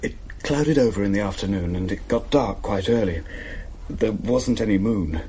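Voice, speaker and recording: A man's voice: lowish voice, normal speed, mellow, slight background noise, close to an everyday voice. An actor playing a British man who recounts family troubles in a vivid, matter-of-fact, conversational way in a radio play. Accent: British English. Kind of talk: conversational radio drama narration.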